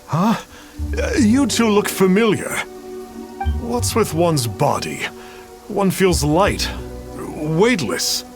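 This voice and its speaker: Give this one to a theatrical, self-important charlatan, a cowardly fake holy man from a game dub. The voice sits high and swings widely, with music underneath. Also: very animated, slow pace, long pauses, exaggerated voice. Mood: pompous. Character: pompous cowardly male fraud exorcist posing as immortal. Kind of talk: animated video game dub acting.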